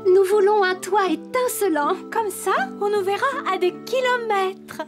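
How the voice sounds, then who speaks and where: A woman's voice: very high voice, a little slow, over music. A woman voicing a dainty, particular young mermaid in a French children's cartoon.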